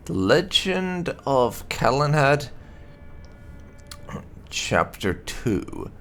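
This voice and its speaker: A man narrating game lore as a gaming host, in a measured storyteller's manner with emphatic phrasing. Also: mid-range voice, very animated, a bit bright, a little hiss or hum. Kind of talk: reading game lore aloud as scripted narration.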